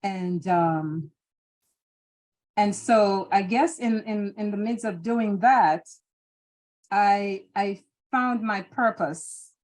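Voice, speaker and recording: A middle-aged woman from Jamaica speaks with a Jamaican accent in a relaxed interview. She answers thoughtfully, pausing and restarting as she gathers her words. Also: medium pitch. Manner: thoughtful, hesitant, many restarts and fillers. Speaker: interview guest.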